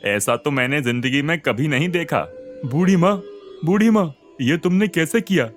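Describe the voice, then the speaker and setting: A man voicing an astonished, excitable villager who exclaims in wonder in a cartoon story. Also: a little high, moderate pace, voice pushed up, close to an everyday voice. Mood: amazed. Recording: music behind the voice.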